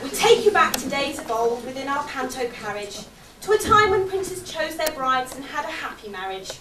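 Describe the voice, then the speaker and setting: Chirpy servant boy, played by a woman, high swooping voice, declamatory pantomime narration, echoey hall. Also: sing-song, moderate pace, heavily acted. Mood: cheery. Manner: bright, declamatory, addressing the audience directly. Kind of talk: amateur pantomime stage acting and narration. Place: theatre or hall stage with audience. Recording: echoey hall, distant mic, audience noise.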